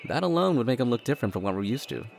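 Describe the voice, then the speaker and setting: A man playing a level-headed, slightly dorky adult brother in an audio drama, talking in a measured, wry way. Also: medium pitch, sing-song, creaky, darkish tone, faint music behind. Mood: reasoning.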